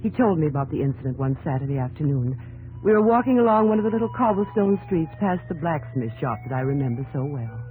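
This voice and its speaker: Gentle young woman, low female voice, measured storytelling, old radio drama, music behind. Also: very animated, narrow, tinny audio. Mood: earnest, wistful. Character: gentle, sympathetic young New England woman recalling the past. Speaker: narrator and actress. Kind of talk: 1950s radio drama acting.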